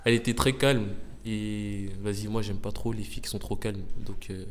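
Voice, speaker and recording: A young man giving hesitant to-camera comments after dates, pausing often. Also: fairly low pitch, fairly even intonation, big-room sound, slight background noise. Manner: hesitant, many pauses and "euh". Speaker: dater giving his verdict.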